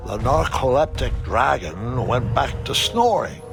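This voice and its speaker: A man playing a whimsical old grandfather who tells his adventures theatrically in a storybook-style game, with a gravelly voice. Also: medium pitch, big pitch swings, measured pace, over music. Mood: storytelling.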